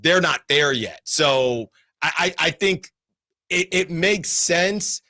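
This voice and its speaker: Animated sports radio host, bright voice. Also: male voice, on the high side, slow, dry recording, clean studio sound. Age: 40s. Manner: animated, rambling, lots of restarts. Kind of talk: sports talk radio discussion.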